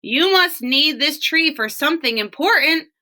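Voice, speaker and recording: A woman's voice: high voice, animated, moderate pace, bright, forceful tone, voice pushed up. A woman voicing an impatient young boy from a storybook, calling out questions and exclamations. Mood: calling out, puzzled. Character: impatient young boy alarmed in a dreamed future.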